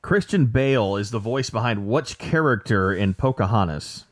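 A man plays quizmaster on a relaxed podcast. His delivery rises and falls a lot, and it carries a dry, self-deprecating wit. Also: lowish voice, normal speed. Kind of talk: joking game-show hosting.

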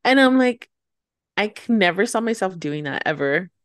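A woman's voice: medium pitch, expressive, moderate pace, no room sound, clean studio sound. A young woman co-hosting a podcast in casual chat, rambling and filling her talk with "like". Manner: rambling, heavy use of "like". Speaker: podcast co-host.

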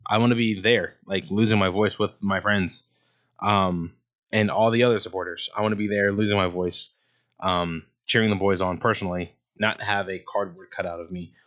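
A man's voice: low voice, fairly even intonation, moderate pace, a bit bright. A man hosting his own sports talk show, rambling casually with many ums and restarts. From Ohio, USA. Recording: dull lo-fi sound.

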